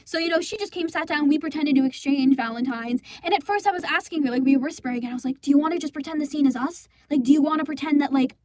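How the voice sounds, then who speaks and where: A young adult woman telling a story in a casual, animated rant, swearing freely and exasperated, quoting people as she goes. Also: high voice. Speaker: storyteller and amateur stage performer. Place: small indoor recording space with acoustic foam.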